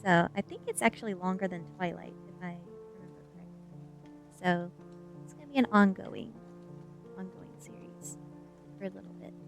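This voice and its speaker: A woman streamer speaks in a soft ASMR reading style with a mid-range voice. She is gentle and unhurried, sometimes dropping to a hush. Music plays faintly behind her. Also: medium pitch, animated, vocal fry. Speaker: streamer and storyteller. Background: background music.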